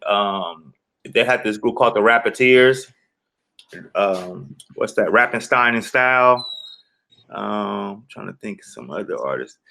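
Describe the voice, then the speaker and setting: A man in his fifties with a fairly low voice. He talks loosely and rambles, leaning on fillers like "you know" as he recalls things in an interview. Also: expressive, unhurried, vocal fry, bright, forceful tone. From South Central Los Angeles. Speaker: guest rapper. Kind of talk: casual interview talk.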